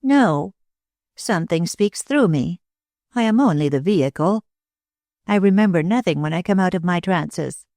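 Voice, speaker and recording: A reader voicing a thin, pale woman clairvoyant who speaks slowly and with emotion, in an audiobook. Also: medium pitch, lively intonation, darkish tone, no echo, clean studio sound, close to an everyday voice. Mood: calm. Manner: slow, emotional, solemn. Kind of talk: audiobook reading.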